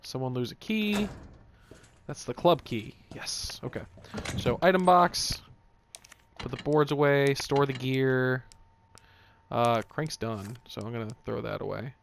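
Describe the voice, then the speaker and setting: A man's voice: medium pitch, unhurried, vocal fry, a little hiss or hum. A man doing casual let's play commentary on a video game, swearing and tossing out jokey asides to himself.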